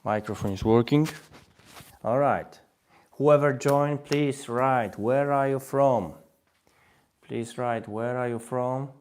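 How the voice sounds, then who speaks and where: A man's voice: medium pitch, takes it slowly, pauses often, a little mellow, clean tone. A man in his thirties with a Polish accent hosting a language-learning live stream, repeating phrases and coaxing viewers to comment. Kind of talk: live-stream webinar talk.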